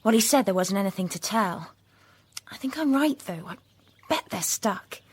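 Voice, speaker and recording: A woman acting in a British radio drama as a stubborn young friend, with a husky voice. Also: mid-range voice, animated, normal speed, a little mellow. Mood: decided.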